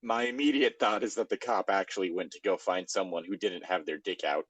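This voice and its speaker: A man with a fairly low voice hosts a casual comedy podcast. He banters in a self-assured, joking way, and the sound is dry with little room on it. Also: brisk, slightly rough, dry recording. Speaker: podcast host. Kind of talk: casual comedic banter while reading fan fiction aloud.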